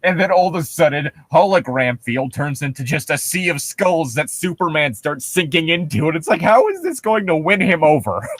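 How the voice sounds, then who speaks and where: A man's voice: fairly high pitch, sing-song, moderate pace, few pauses, dry recording. A man co-hosting casual, joking commentary on stories he reads aloud, in an irreverent, sweary way. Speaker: co-host.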